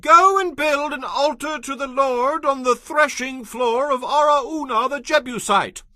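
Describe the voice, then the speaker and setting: An actor playing an earnest royal messenger, urgent and declamatory, in dramatized scripture audio. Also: male voice, very high-pitched, a little slow, sharp tone, no echo, clean studio sound, heavily acted. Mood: solemn, urgent.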